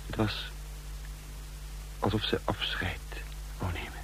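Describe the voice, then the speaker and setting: An actor playing a composed, calculating spy who explains deliberately in a radio play. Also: male voice, low-pitched, a bit bright, whispered. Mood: tense, hushed. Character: composed, calculating male spy, narrating and talking to companion. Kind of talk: Dutch radio play acting. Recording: noisy old radio drama recording.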